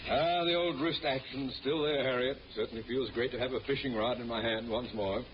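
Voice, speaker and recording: A man's voice: medium pitch, fairly even intonation, fairly quick, close to an everyday voice. A man plays a pompous, scheming middle-aged school principal in an old radio comedy. He speaks with theatrical self-importance, through a muffled broadcast recording with plenty of noise. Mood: pleased.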